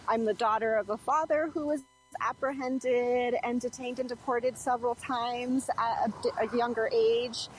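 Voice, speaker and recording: A woman historian and professor answering interview questions in a measured, earnest way. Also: on the high side, slight background noise, low-quality recording. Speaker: guest historian, assistant professor.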